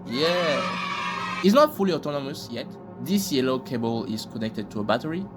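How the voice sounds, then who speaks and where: Lively male commentator, slangy. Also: medium pitch, normal speed. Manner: informal, joking, slangy. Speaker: host of reaction commentary videos. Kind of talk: casual scripted commentary with slang. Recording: loud music behind the voice. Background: loud music.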